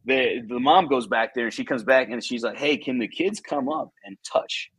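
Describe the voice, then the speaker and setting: Podcast guest, man in his 30s, ex-cop from Chicago, native Spanish speaker; husky, casual, profane storyteller, dry close mic. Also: medium pitch, lively intonation, normal speed, a bit bright, no room sound, rough recording. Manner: laid-back, laughing, profane storyteller. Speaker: podcast guest, former police officer.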